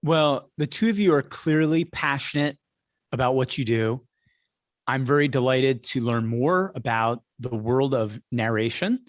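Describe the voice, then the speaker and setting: A man's voice: mid-range voice, measured pace, dry recording, clean studio sound. A man speaking conversationally as a podcast host, with the ease of a practised public speaker.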